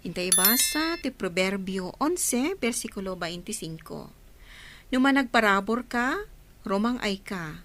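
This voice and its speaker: A woman's voice: medium pitch, animated, unhurried, fairly bright tone. A woman hosting a radio programme, reading a scripted introduction and scripture. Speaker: programme host.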